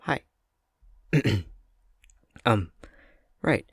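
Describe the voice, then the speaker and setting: A young man playing a clumsy, self-conscious fox-shifter boyfriend in an intimate ASMR roleplay, speaking hesitantly with a soft, breathy, gravelly voice. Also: fairly low pitch, expressive, extremely slow, no room sound, studio quality, full character voice. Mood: flustered, embarrassed. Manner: hesitant, stammering, self-deprecating.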